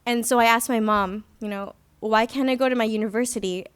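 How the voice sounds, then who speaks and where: A woman's voice: on the high side, fairly even intonation, brisk, smooth. Young woman giving earnest personal testimony. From Philippines. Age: young adult. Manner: earnest, reflective storytelling with light self-deprecating humor. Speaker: student activist speaker. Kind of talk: personal testimony speech at a conference. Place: conference hall.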